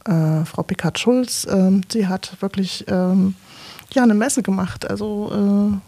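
A German woman from Leipzig, a painter and performance artist, talking about her life in a relaxed interview, with lots of fillers and restarts. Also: mid-range voice, slow, creaky. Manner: talkative, many fillers and restarts, laughs often. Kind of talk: interview, personal background.

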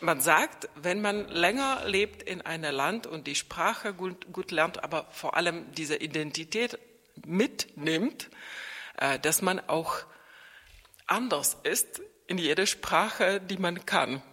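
Slow, thoughtful Czech-native woman. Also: fairly low pitch, animated, sharp tone, breathy edge, big-room sound. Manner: thoughtful, hesitant with frequent fillers. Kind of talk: casual conversation.

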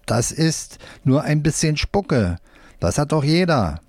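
A fussy storybook hand puppet, put on by a man in a raised, lively voice. It chides and reasons like an exasperated, protective grown-up. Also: on the high side, takes it slowly, no echo, pitched above the performer's own voice, heavily acted. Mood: reassuring.

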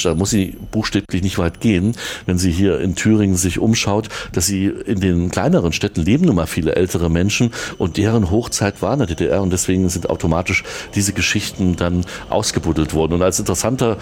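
A man with a low-pitched voice speaks as a radio reporter. He explains and recounts in a conversational, spoken style rather than reading a script. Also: a little fast, darkish tone.

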